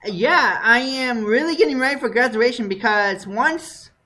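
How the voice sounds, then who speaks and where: A man with a high voice hosting a podcast, talking in an excitable, casual way and laughing easily. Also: normal speed. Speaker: podcast host. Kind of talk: casual chat.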